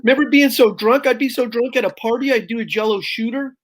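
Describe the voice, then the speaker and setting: A man's voice: very high voice, fast. A man, a guest comedian, firing off brash stand-up jokes on a video call and calling the host brother. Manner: brash, punchy, calls people "brother". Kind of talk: stand-up style joke telling.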